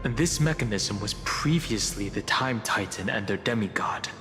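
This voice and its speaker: A man playing a grave, thoughtful guide in a fantasy game, explaining in a measured, solemn way. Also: lowish voice, lively intonation, pushed and bright. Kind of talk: dramatic game exposition. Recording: long reverb over music.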